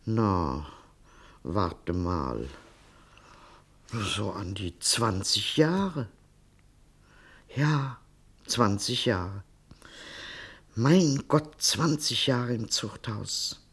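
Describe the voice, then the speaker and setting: Animated elderly grandmother. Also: extremely low pitch, extremely slow, long pauses, slightly rough, full character voice. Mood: musing. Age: older. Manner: hesitant, musing, trailing into recollection. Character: elderly German grandmother, fond, reminiscing, discreet. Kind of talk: German radio play acting.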